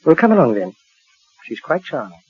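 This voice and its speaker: High-voiced British doctor, dull old recording. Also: male voice, sing-song, slightly rough, full character voice. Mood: genial, patronising. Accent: British English. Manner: courteous, measured, faintly patronising. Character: genial, matter-of-fact male asylum doctor. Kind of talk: 1940s radio acting. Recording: dull, lo-fi 1940s radio recording.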